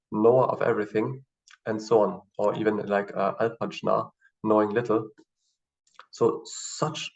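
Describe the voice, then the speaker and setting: Halting, gravelly German-native man teaching. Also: medium pitch. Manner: hesitant, halting explanations with many fillers. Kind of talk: screen-share tutorial. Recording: distant, dull lo-fi mic.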